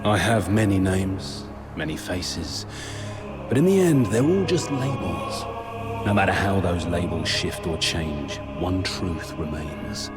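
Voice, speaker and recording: Grandiose, slow-speaking demon rebel leader. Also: male voice, low voice, animated. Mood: sinister, persuasive. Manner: theatrical, oratorical, deliberate emphasis. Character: grandiose, vengeful male demon rebel leader, persuasive and menacing. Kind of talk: dramatic video game voice acting. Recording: music under the voice.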